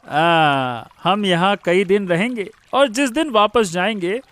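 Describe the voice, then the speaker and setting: A man's voice: high voice, animated, takes it slowly, a bit bright, heavily acted. A man voicing a romantic, attentive young husband in a cartoon story, dreamy and affectionate. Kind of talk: animated moral story dramatization.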